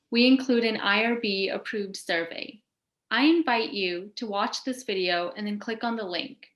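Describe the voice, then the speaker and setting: Casting: female educational show host, scripted delivery, mid-pitched voice, echoey recording. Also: a bit bright. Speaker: host of a patient advocacy show. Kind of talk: scripted presentation with question prompts. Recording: echoey room sound.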